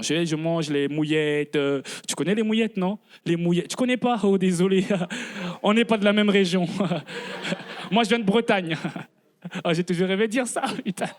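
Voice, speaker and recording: High-voiced man with a Congolese accent. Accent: Congolese French. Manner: animated storytelling with laughs. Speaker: stand-up comedian. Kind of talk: stand-up routine.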